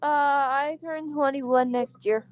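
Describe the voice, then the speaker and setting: A woman's voice: high voice, fairly even intonation, takes it slowly, no echo, highs cut off. A twenty-year-old woman chatting casually and profanely, tired after work, calling friends bestie. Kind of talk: casual stream chat.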